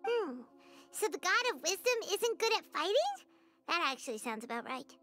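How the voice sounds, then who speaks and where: A woman voicing a tiny floating fairy companion in a video game, squeaky, childish, dramatic and exclamatory. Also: big pitch swings, normal speed, sharp tone, full character voice. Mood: chatty, opinionated. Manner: refers to self in third person, exclamatory. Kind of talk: video game character dialogue.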